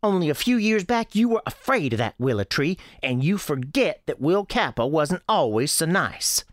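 Bossy sister played by an adult: raised pitch, scolding, sharp-tongued, protective; dry radio-drama sound. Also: sing-song, normal speed, dry recording, lightly acted. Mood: stern. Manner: scolding, corrective.

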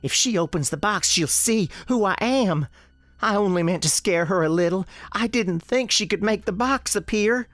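A woman's voice: mid-range voice, moderate pace, dry recording, lightly acted. A woman playing a frightened ghost girl, an imaginary friend, in a radio drama, pleading with breathless urgency. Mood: guilty, anxious.

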